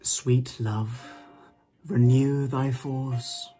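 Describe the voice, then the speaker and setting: A man giving a dramatic recitation of old sonnets in a measured, theatrical way with deliberate pauses. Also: medium pitch, steady tone, dark tone. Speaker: poetry performer. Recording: music behind the voice. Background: music.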